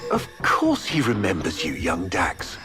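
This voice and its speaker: A man playing a sinister, cultured villain in a video game cutscene, speaking deliberately with mock-courteous, gloating menace. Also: low voice, huge pitch swings, heavily acted. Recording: loud music behind the voice.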